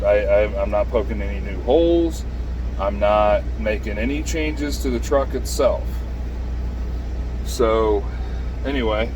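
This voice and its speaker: A man giving a casual, unscripted how-to vlog in a halting, rambling, self-deprecating and plain-spoken way, recorded in and around a truck. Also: on the low side, a little hiss or hum. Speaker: amateur DIY video maker showing his truck radio setup.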